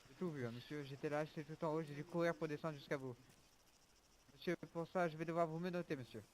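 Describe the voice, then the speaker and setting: A man's voice: a little high, fast, a little hiss or hum, close to an everyday voice, poor audio. A man playing a formal, insistent police officer in an online roleplay game. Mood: firm, procedural. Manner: formal, official, orderly commands.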